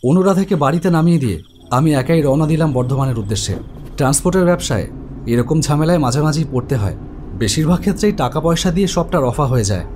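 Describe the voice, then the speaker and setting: A man playing an exasperated husband who runs a trucking business and tells his own story in an animated horror tale, talking conversationally. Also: a little high, fast talker, slight background noise, lightly acted. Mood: resigned. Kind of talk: Bengali animated horror story acting and narration.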